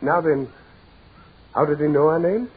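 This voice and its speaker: A man's voice: on the high side, unhurried, slightly rough. An actor playing a proud, practical businessman fighting dread in 1940s radio horror, with theatrical, repetitive insistence. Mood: forced reassurance. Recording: scratchy, tinny 1940s radio recording with noise.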